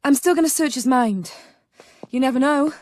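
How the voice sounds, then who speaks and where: An actress playing a determined young video game heroine with a dry, terse delivery. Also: female voice, high voice, moderate pace, a little mellow, close to an everyday voice. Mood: wry, determined. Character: wry, determined young female action heroine. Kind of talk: video game cutscene acting.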